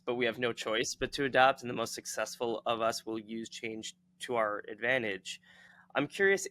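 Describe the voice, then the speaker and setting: A man's voice: mid-range voice, sharp tone. A man hosting an interview, putting considered questions to his guest. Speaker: interviewer, host.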